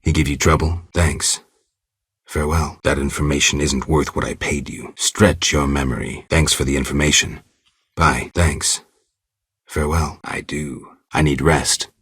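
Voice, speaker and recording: A man playing a gruff, laconic monster hunter for hire in a video game, speaking tersely with a dry, deadpan delivery and a gravelly rasp. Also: a bass voice, unhurried, dry recording, exaggerated voice. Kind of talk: video game dialogue acting.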